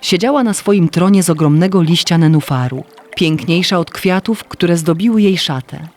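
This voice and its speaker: A man's voice: sits high, normal speed. A man narrating a scripted fairy tale for children as a storyteller.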